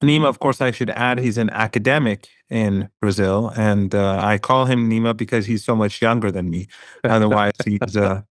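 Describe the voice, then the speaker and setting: A middle-aged man, a professor and interview guest, talking in a measured way with some fillers. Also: on the low side, fairly even intonation, dry recording, clean studio sound. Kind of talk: casual interview answers.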